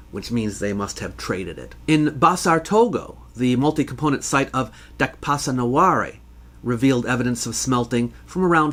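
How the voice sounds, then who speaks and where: A man hosting an educational show, reading scripted explanatory narration in a measured, scholarly way. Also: medium pitch, a bit bright. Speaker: host answering viewer questions.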